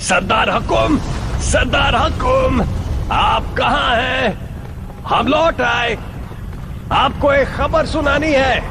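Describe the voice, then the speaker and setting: A servile male cartoon henchman creature, extremely high and creaky. He calls out slowly, stretching words, with music behind. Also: background noise, heavily acted. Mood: eager, calling. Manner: calling out, drawn-out. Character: servile, noisy henchman creature reporting to his chief, male. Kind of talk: dubbed cartoon acting.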